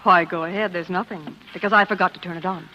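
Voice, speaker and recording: A woman's voice: on the low side, fairly even intonation, quick pace, a little hiss or hum, natural voice. An actress playing a passionate, scheming wife in 1940s radio drama style, theatrical in her delivery. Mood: evasive. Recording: dull vintage radio recording.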